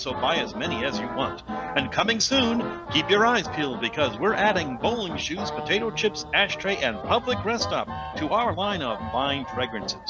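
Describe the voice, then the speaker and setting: A man's voice: fairly high pitch, highs cut off. A man playing a hammy, manly sponsor announcer in a mock radio commercial, theatrical and salesy.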